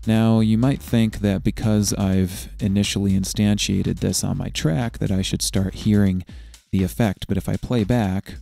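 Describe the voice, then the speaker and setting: A man narrating a step-by-step software tutorial in a methodical, explanatory way. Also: on the low side, creaky.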